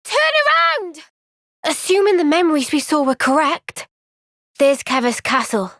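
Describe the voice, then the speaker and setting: An actress playing an earnest, gentle but firm young woman fighter in a video game, speaking in a measured, sincere way. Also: high-pitched, wildly swooping pitch, no echo, clean studio sound, heavily acted. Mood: alarmed, urgent. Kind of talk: video game cutscene voice acting.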